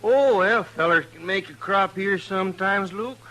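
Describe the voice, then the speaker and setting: A man's voice: sits high, moderate pace, bright, forceful tone, rough recording. An actor playing a sly, sociable, hard-drinking hillbilly man with a rustic American accent in an old-time radio western. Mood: folksy, sociable. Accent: rural American hillbilly. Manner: folksy, rustic backwoods talk.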